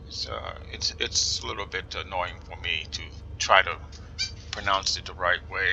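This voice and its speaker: A man's voice: low voice, fairly even intonation, creaky, bright, forceful tone, slight background noise, rough recording. A man with a New Jersey accent giving casual commentary while playing a flight sim, musing aloud and slipping into whispers. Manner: musing aloud, reading instructions slowly, often drops to a whisper.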